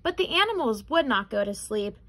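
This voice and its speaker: Lively young woman reading a storybook aloud. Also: a little high, a little fast, slight background noise. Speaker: picture-book reader. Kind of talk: children's storybook read-aloud.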